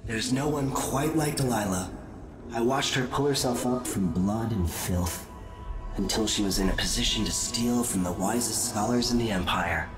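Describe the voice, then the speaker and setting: An actor playing a sardonic supernatural being in a video game cutscene, with a measured, knowing, theatrical delivery. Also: male voice, lowish voice, lightly acted. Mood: knowing, cryptic. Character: cryptic, sardonic male supernatural being, coolly taunting. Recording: echoing voice over game music.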